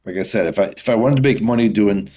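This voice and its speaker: Low-pitched, easygoing man in casual interview. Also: quick pace, mellow. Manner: rambling, easygoing, explanatory. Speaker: podcast guest, whiskey distiller.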